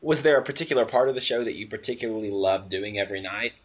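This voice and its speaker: Low-voiced man asking rambling questions. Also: fast. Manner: hesitant, rambling questions with fillers. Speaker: interviewer for a fan website. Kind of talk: casual interview questions. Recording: dull muffled recording.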